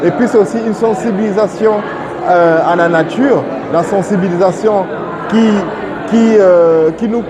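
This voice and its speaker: Male artist, high voice, halting and repetitive, gallery crowd audible behind him. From Congo. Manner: halting, repeats words. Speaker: exhibiting artist. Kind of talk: informal on-camera presentation of own work. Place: art gallery.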